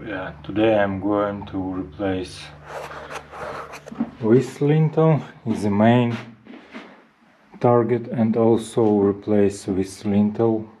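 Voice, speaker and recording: Man in his 30s, home renovator, slow and warm, halting explanations to a handheld cam. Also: on the low side, slight background noise, low-quality recording. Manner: plain, halting, stops to point out details. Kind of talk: casual explaining to camera. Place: inside an old brick farmhouse under renovation. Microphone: action camera held at arm's length.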